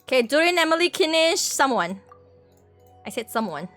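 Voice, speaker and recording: A high-pitched woman livestreams a game in a casual, excitable way. Her voice swings widely as she repeats short phrases in quick bursts. Game music plays behind her. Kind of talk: casual live gaming commentary.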